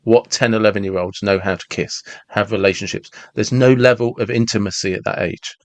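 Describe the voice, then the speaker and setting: A man's voice: fairly low pitch, steady tone, brisk, dry recording. A man in his forties talking reflectively and casually as a podcast host, often repeating and rephrasing himself as he goes. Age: 40s.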